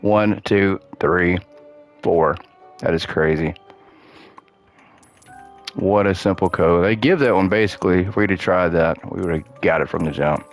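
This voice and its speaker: A man with a low voice that keeps cracking because he is losing it. He chats casually and upbeat, counting and thinking aloud while he plays a game. Faint music runs underneath. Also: measured pace, pauses often. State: losing his voice. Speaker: gaming channel host. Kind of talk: casual gameplay commentary.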